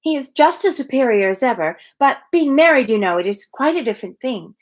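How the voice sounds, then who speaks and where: Lady doing a sweet wistful young woman from a classic novel, highish, sighy, very earnest. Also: lively intonation, brisk. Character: wistful, sweet young woman sighing over lost love.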